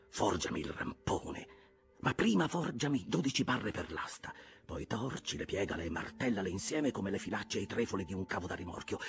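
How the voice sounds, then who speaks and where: A man voicing a brooding, obsessed old sea captain in an audiobook, switching between hushed whispers and forceful outbursts. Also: deep voice, vocal fry, heavily acted. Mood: commanding. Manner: intense, shifting between whispers and loud outbursts.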